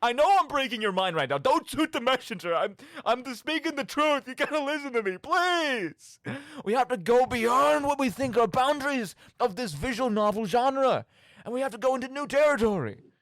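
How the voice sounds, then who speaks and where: Animated young man hosting a radio show. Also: extremely high pitch, normal speed, no room sound. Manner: playful, mock-dramatic, tongue-in-cheek. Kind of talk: casual, rambling solo radio show. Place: radio studio. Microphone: studio microphone.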